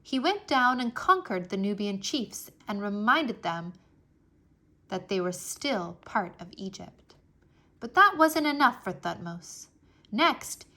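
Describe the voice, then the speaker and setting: A woman reading a history book aloud for children in an expressive, deliberate storytelling manner. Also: a little high. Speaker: read-aloud narrator.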